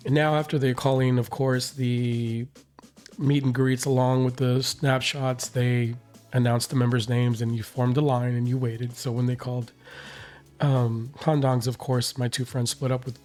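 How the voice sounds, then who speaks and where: Hoarse male vlogger, mid pitch, rambling casual recap, faint music underneath. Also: flat delivery, measured pace, a little mellow. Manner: rambling, many fillers and restarts. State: hoarse, recovering voice. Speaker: reaction channel host.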